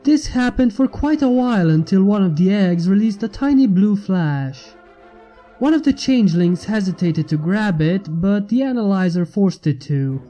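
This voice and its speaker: A man's voice: high-pitched, animated, normal speed, warm tone. A man reading a fan fiction story aloud as scripted narration. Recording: music bed behind the narration.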